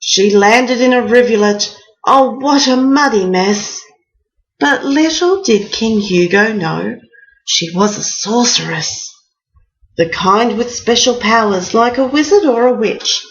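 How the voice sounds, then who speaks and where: Female bedtime storyteller, unhurried rhyming narration, mid-pitched, slightly muffled home recording. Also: expressive. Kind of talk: bedtime picture-book reading in rhyming verse.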